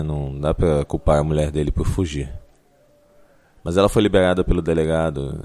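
Man playing a deep-voiced, world-weary Brazilian detective. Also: darkish tone, echoey room. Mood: blunt, cynical. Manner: blunt, sardonic, world-weary. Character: world-weary, cynical, foul-mouthed male police detective. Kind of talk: noir audio drama narration and dialogue. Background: music.

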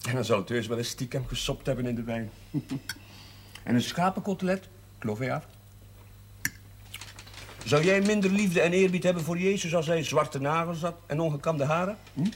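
A talkative young priest, played by a man, full of cheer and easy laughter. His voice sits fairly high for a man, over a faint hiss of background noise in this 1970s TV drama. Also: normal speed, long pauses, natural voice. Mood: teasing. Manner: exuberant, laughing, breaks into song. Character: cheerful young priest, warm-hearted, chatty.

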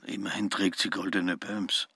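A prudish grumbler in a radio drama, muttering in disgust. His voice is low and raspy, recorded dry and close. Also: little pitch movement, moderate pace, bright, forceful tone, no room sound, exaggerated voice. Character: grumbling, prudish man muttering disapproval to himself.

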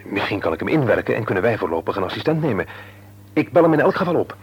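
An actor playing a businesslike, helpful husband in a Dutch radio drama. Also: male voice, lowish voice, very animated, fast talker, breathy edge, exaggerated voice. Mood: thoughtful. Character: businesslike husband pondering plans, eager to help.

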